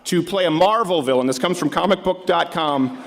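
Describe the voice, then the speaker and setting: A man's voice: on the high side, normal speed, vocal fry, slight background noise. A middle-aged man hosting a live comedy talk show, riffing sarcastically before a crowd. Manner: sarcastic, riffing, mocking voices.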